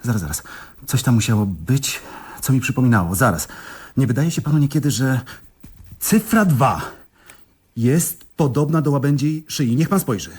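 Excitable, husky poet with swooping intonation. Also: male voice, medium pitch, moderate pace. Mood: excited, pondering. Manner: hesitant, excitable, musing aloud. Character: earnest, eccentric male poet, flustered, proud of his verse. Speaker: actor in a radio play. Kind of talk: theatrical radio comedy dialogue.

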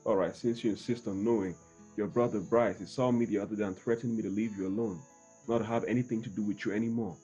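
An actor playing an evasive deep-voiced boyfriend. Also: male voice, steady tone, fast talker, mellow, husky, lo-fi sound, faint music behind. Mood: agitated. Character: evasive young man, defensive and jumpy. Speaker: boyfriend under pressure.

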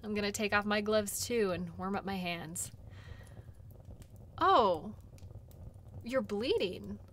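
Female, actress as kind outdoor sled guide for audio role play; gentle, reassuring, conversational, takes her time. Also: medium pitch, lively intonation, slow. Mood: concerned, reassuring. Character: caring, chatty female dog-sled guide tending wounds.

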